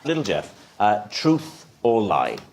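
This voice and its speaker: A man's voice: lowish voice, huge pitch swings, slow pace, creaky. A man hosting a comedy panel show, delivering scripted comic introductions to a studio audience. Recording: loud studio audience noise. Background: crowd laughter and noise.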